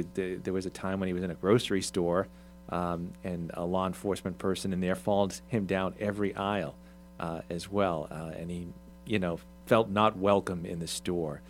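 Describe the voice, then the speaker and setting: Low-voiced, halting male talk host. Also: a little hiss or hum. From Irish, Swedish ancestry mentioned. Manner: hesitant, many ums and restarts. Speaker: radio show host. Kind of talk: casual talk show discussion.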